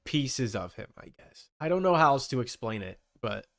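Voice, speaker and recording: A man chatting casually on a livestream, rambling and thinking out loud. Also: mid-range voice, sing-song, normal speed. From Canada. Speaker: streamer.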